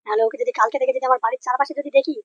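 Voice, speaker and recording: A man voicing an angry, crude, threatening neighbour in a comedy cartoon, with a pitched-up voice. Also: fairly even intonation, rapid-fire, lo-fi sound, background noise. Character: angry, foul-mouthed neighbourhood man protecting his daughter.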